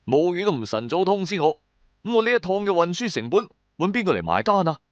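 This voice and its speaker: Wry, animated storytelling trader. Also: male voice, sits high, fast, dry recording, muffled recording. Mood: annoyed, teasing. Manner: storytelling, colloquial, sardonic. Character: wry, shrewd male trader recounting his own supernatural adventures. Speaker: narrator and lead character. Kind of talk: first-person radio drama narration in colloquial Cantonese.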